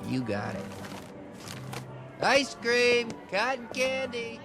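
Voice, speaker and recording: An actor playing an obliging boardwalk ice cream seller with a drawn-out hawker's call in a radio play. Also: male voice, very high voice, very animated, over music, exaggerated voice. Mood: businesslike. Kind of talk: dramatic radio-play acting.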